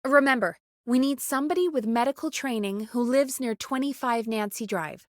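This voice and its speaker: A woman's voice: fairly high pitch, moderate pace, dry recording, clean studio sound, natural voice. A woman playing an earnest, urgent radio producer in a video game, conversational and pressing in naturalistic dialogue. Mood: brisk, urgent.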